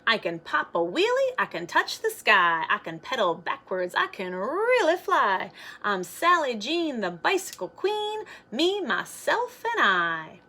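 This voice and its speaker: A woman's voice: a little high, wildly swooping pitch, measured pace, bright, forceful tone, heavily acted. A woman voicing a spirited, proud little girl in a children's storybook, with exuberant, sing-song delivery.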